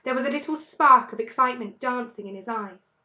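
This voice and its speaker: A woman teacher reading a children's storybook aloud with expressive narration. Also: on the high side, brisk, echoey room.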